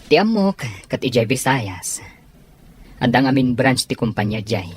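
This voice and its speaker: Woman playing a deep husky-voiced man, frank advisor. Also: sing-song, normal speed, heavily acted. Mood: reassuring. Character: frank adult man, a protective friend giving advice. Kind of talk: dramatised dialogue in an audio drama.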